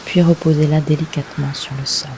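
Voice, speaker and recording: A woman leading a guided yoga nidra meditation, giving gentle, unhurried instructions with long pauses. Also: low voice, flat delivery, background noise.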